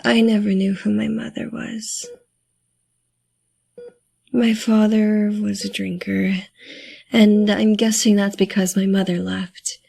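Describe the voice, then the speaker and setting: A woman playing a streetwise teenage girl in an audio drama, guarded and wry. Her voice is husky and gravelly at the edges, and she speaks slowly, in a confiding way. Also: mid-range voice, flat delivery, warm tone, full character voice. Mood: somber, confiding.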